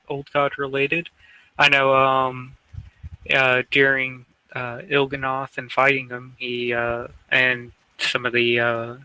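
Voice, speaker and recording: A man chatting casually about gaming as a podcast co-host, in a hesitant, halting manner. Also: medium pitch, pushed and bright, clean tone, dry recording, rough recording.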